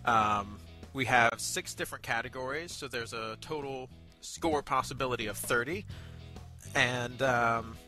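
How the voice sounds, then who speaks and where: A man with a very bright, forward voice at a middling pitch, talking through a review as co-host. He is measured and polite, pausing often with hesitations, over background music. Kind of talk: conversational review commentary.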